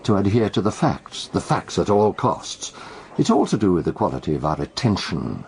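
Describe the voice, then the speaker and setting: A man acting in a radio drama as a cryptic, needling tea-house detective and mentor, speaking in measured aphorisms. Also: sits low, sing-song, vocal fry, darkish tone, full character voice. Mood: probing, philosophical. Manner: measured, aphoristic, teasing, Socratic.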